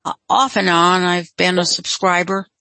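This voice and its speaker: A woman's voice: lowish voice, steady tone, moderate pace, gravelly, sharp tone, no echo. A woman from the United States calling in to a program, reminiscing casually. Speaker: caller, magazine subscriber.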